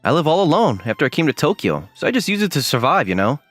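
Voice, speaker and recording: A man's voice: a little high, big pitch swings, quick pace, fairly bright tone. A man playing a young college student, ordinary, guarded and self-deprecating, in an anime-style dub with a hesitant, dry, puzzled delivery. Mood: dry, bemused. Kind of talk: anime-style dub with first-person narration and inner monologue. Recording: music behind the voice.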